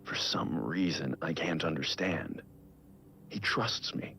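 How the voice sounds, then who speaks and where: An actor playing a brooding, guilt-ridden middle-aged vigilante detective, speaking grave, measured audio logs for a video game. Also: male voice, extremely low pitch, gravelly, sharp tone, slight background noise, exaggerated voice. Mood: brooding, guilty. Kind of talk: scripted audio log narration for a video game.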